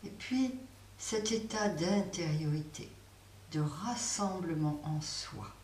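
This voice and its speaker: Woman yoga teacher, low-ish voice, very slow guided relaxation, distant roomy recording with faint hiss. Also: lively intonation. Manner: slow, soft, pausing guidance.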